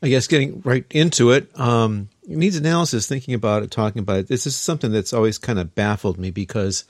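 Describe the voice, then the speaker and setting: A man's voice: medium pitch, fast, no room sound, studio quality. A man hosting a casual podcast conversation, talking loosely with many fillers and restarts. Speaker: podcast host.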